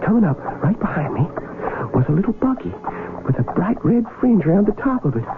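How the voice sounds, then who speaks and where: High-voiced young man, rustic runaway soldier, anxious and defiant, folksy grammar, radio drama, dull vintage recording. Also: very animated, fairly quick. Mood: uneasy, narrating. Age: young adult. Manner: folksy ungrammatical country speech. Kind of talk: 1970s radio drama acting.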